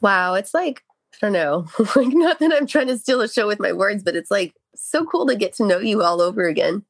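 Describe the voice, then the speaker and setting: A woman's voice: medium pitch, expressive, brisk, no echo, studio quality. A young woman chatting in a rambling, giggly way as a podcast co-host. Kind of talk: casual chat.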